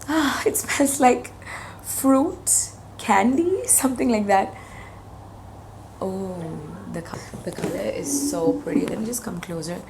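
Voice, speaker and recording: A woman in her thirties with a hoarse voice, chatting enthusiastically as she reviews makeup products on her channel. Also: mid-range voice, a little mellow, slight background noise. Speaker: beauty vlogger.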